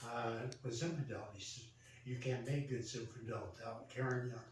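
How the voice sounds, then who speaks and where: An older American man telling a personal story casually, in a halting, reminiscing way. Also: mid-range voice, steady tone. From Central Valley, California, United States. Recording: roomy echo, distant mic, faint noise.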